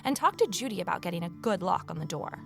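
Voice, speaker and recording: A woman's voice: on the low side, big pitch swings, fast talker, creaky, over loud music. Wry, candid woman narrator. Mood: irritated, wry. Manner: candid, wry, self-deprecating asides. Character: wry, candid woman, former journalist starting over. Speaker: lead character and first-person narrator. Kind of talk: scripted first-person audio drama narration.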